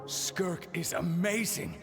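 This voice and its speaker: A man's voice: high-pitched, lively intonation, slow, over music, full character voice. An actor playing a male warrior's disciple full of admiration in a video game, in a long reverb. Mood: admiring. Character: male disciple in awe of his fellow warrior. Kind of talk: scripted video game dialogue.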